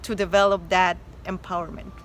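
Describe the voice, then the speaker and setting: A woman's voice: fairly low pitch, level tone, fairly quick, a bit bright, a little hiss or hum. A woman from Mexico with a Mexican Spanish accent, a doctor talking earnestly and emphatically as a guest, with many fillers and restarts. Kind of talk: casual interview chat.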